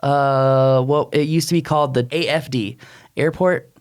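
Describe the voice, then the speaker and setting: A man's voice: mid-range voice, steady tone, unhurried, clean tone. Blunt, casual man co-hosting a podcast. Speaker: podcast co-host and drone expert. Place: small wood-panelled podcast room. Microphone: close studio mic on boom arm.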